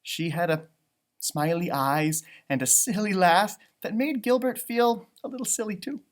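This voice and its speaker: A man hosting a storytime for children, reading and chatting in a theatrical, halting, conversational way. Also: high-pitched. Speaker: storytime host and teacher. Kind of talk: children's storybook read-aloud with chatty asides.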